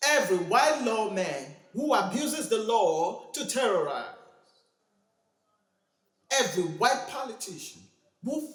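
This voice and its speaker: Man performing a civil rights preacher, high voice, grieving yet fiery oratory, dramatic monologue, roomy echo. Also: heavily acted. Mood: indignant. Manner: rhetorical, building from hushed solemnity to fiery cries. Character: male civil rights preacher delivering an impassioned, grieving eulogy.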